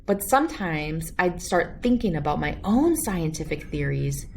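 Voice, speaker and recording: A woman reading a children's picture book aloud as an expressive storyteller. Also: deep voice, unhurried, creaky, a little hiss or hum.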